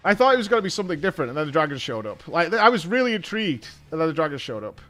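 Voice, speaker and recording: A man's voice: on the high side, very animated, racing, a bit bright, soft background music. A British man hosting a gaming show, giving casual, blunt live reactions and thinking aloud.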